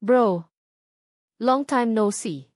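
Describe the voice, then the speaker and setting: Woman doing cocky big brother in an audio story, slow mocking drawl, dry sound. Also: fairly high pitch, no room sound. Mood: smug. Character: smug, mocking older brother, a young man.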